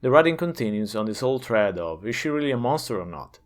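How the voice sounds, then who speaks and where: Man narrating with lively, swooping intonation. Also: medium pitch, quick pace. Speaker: game reviewer. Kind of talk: scripted video review narration.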